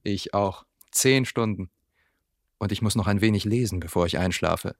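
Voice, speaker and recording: A young actor dubbing a polite, formal young man gently courting a woman. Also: fairly low pitch, normal speed, no room sound, clean studio sound, lightly acted. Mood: courteous. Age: young adult. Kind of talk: film dub.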